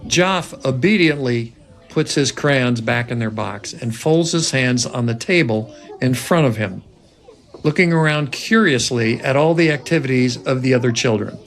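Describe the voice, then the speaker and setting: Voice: medium pitch, moderate pace. A narrator reading scripted stage directions aloud at a film table read.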